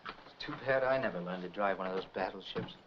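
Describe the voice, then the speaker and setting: An actor playing a loyal, worried helper to a trucker, in 1940s film noir style. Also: male voice, low voice, fast talker, close to an everyday voice. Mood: worried, cautioning. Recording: muffled, noisy 1940s film soundtrack.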